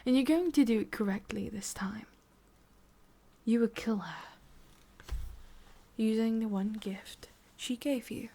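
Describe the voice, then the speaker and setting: A woman with an English accent playing a menacing, mockingly tender female boss of demons in an ASMR roleplay, breathy and often whispering, with a taunting, condescending manner. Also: a little high, dark tone. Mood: menacing, intimate. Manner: slow, taunting, condescending, with pauses and sighs.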